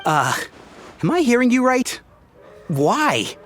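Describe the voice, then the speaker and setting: A man's voice: extremely high pitch, very animated, takes it slowly, a bit bright, slight background noise, full character voice. A man playing an earnest, dismayed employee in a game, pleading and incredulous in manner. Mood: incredulous, upset. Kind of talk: game cutscene acting.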